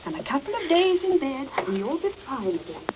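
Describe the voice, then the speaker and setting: A woman's voice: high-pitched, very animated, a little fast. An actress playing a prim, genteel middle-aged mother of the house, soothing in an old radio drama. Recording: tinny old radio recording with clear hiss.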